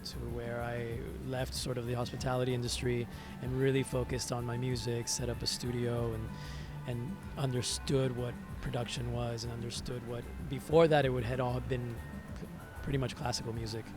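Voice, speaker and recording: A man from Venezuela, a native Spanish speaker, telling his story as an interview guest in a reflective, halting, conversational way, repeating words as he searches. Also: medium pitch, little pitch movement. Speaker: interview guest, musician and hospitality entrepreneur. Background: music.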